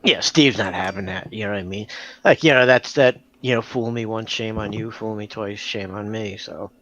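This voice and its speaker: A man on a livestream panel giving casual, rambling commentary in a halting way, full of filler phrases. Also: fairly low pitch, a bit bright, dull audio. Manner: rambling, halting, repeats "you know" and "like".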